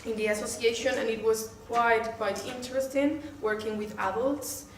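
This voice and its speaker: A woman's voice: on the high side, steady tone, normal speed. A woman from southern Spain with a Spanish accent introduces herself to a group in English as a second language, hesitantly searching for words. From Malaga, southern Spain. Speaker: workshop participant. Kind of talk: informal self-introduction to a group. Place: echoey room. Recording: roomy, distant mic, faint noise.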